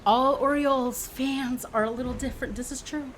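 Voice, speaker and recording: A woman's voice: high voice, normal speed, a little hiss or hum, poor audio. A woman in her forties, from Canada, chatting casually and playfully with viewers on a livestream. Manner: chatty and expressive, teasing and playful.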